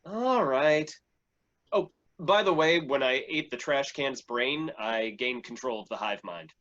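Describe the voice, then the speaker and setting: Muffled man playing a goofy deadpan cop. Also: mid-range voice, a little slow, a little hiss or hum. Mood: casual. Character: oddball flesh-eating cop, casual and goofy. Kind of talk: staged play reading.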